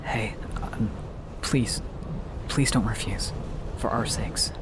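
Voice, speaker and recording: An actor playing an anxious, earnest stranger in an audio drama, pleading and insistent in a hushed manner. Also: male voice, lowish voice, slow pace. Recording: noisy subway train ambience.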